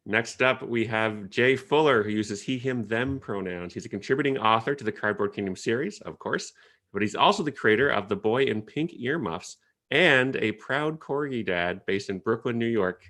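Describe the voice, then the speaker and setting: A man from Canada, moderating an online panel in a relaxed, chatty way with hesitant fillers, over a video call. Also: mid-range voice, normal speed. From Ottawa, Canada.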